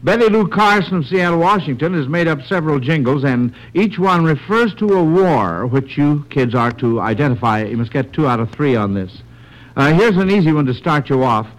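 A man's voice: a little high, lively intonation, normal speed, low-quality recording. An American man hosting a 1940s radio quiz for a panel of children, with a folksy, encouraging manner. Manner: encouraging, folksy, repeats and affirms answers.

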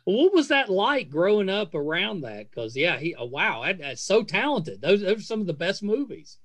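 A middle-aged man hosting a casual podcast interview, speaking in a gushing, effusive way with halting phrasing. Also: sits high, big pitch swings, a bit bright, no room sound. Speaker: podcast host interviewing guests.

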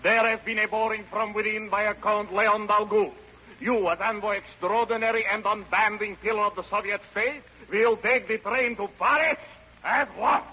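A pompous soviet commissar barking orders, sharp high voice, big hollow reverb, old radio. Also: male voice, steady tone, moderate pace, slightly rough, highs cut off, heavily acted. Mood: commanding. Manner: pompous, declamatory. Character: officious, forceful male Soviet commissar giving orders. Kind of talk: 1940s radio comedy acting.

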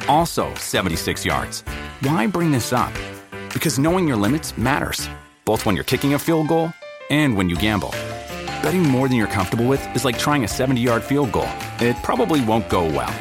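A man reading a scripted public-service ad in a measured, explanatory way. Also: mid-range voice, big pitch swings. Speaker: ad announcer. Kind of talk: scripted ad read. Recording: music bed under the voice.

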